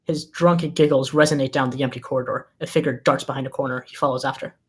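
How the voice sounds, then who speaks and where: A male narrator reads stage directions and scene headings from a script. His pitch sits in the middle, and the delivery is scripted storytelling. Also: steady tone, fast, a little hiss or hum.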